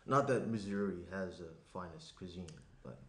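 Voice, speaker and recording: A man's voice: sits low, normal speed, close to an everyday voice. A young man acting an attentive, slightly anxious boyfriend in a conversational, hesitant script reading. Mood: pleading, hopeful. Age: young adult. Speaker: actor in a screenplay table read. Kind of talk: naturalistic dramatic script reading. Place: small indoor room around a table. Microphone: shared tabletop microphone. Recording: roomy echo, noticeable room noise.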